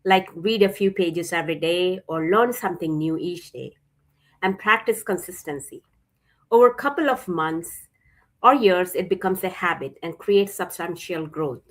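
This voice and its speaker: A woman's voice: lowish voice, normal speed. A woman from India giving a casual talk, a little hesitant with fillers. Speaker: guest speaker.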